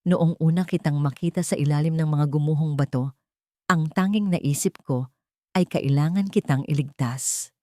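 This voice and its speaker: A woman's voice: low-pitched, moderate pace, warm tone, no echo, studio quality, natural voice. A woman voicing an earnest, guilt-ridden man making a sorrowful confession in an audiobook. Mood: solemn. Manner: sorrowful, sincere.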